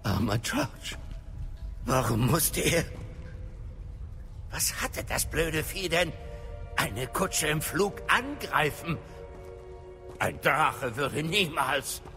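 An actor playing a learned wizard mentor, wry and concerned, with a formal, dry manner in a fantasy audio drama. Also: male voice, a little high, animated, drawn-out words, long pauses, bright, forceful tone, exaggerated voice. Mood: concerned, agitated. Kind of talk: dramatised fantasy radio play. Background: music.

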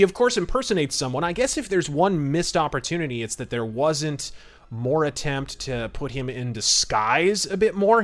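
A man with a fairly high-set voice talks as a podcast host. His film commentary is conversational and discursive, wandering into asides and qualifications as he goes. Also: fairly bright tone. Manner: chatty, discursive, peppered with asides and hedges.

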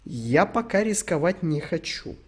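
A man's voice: on the high side, sing-song, measured pace, slightly rough. A young man from Ukraine streaming, answering chat questions in a rambling, sweary, joking way. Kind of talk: casual stream Q&A.

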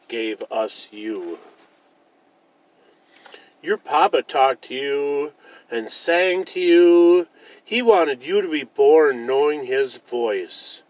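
A man voicing a loving, gentle mother bear who reassures her cub in a children's storybook. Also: mid-range voice, poor audio.

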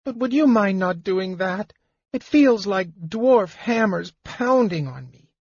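A small, battered kender whines thickly and miserably, as a male narrator puts him on. The voice is raised high and husky, captured in a dull, dry recording. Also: animated, slow, no echo, full character voice. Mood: miserable.

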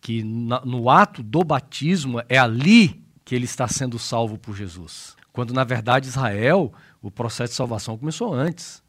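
A man with a Brazilian Portuguese accent, a pastor co-hosting a Bible study, retelling scripture with animated storytelling and frequent fillers. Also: medium pitch, moderate pace, few pauses. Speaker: pastor and co-host.

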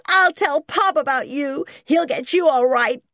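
A woman voicing a spoiled, bossy young boy in a storybook, whining, demanding and shouting. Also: high voice, unhurried, no room sound, clean studio sound, voice pushed up. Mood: tearful, sulky.

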